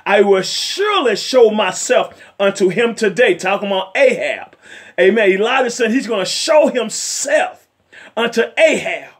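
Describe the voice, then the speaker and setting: A middle-aged male evangelist with a raspy voice, preaching fervently from a chair in his living room and sprinkling in amens and hallelujahs. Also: high voice, a bit bright. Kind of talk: home sermon and Bible study. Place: home living room, seated in a leather chair.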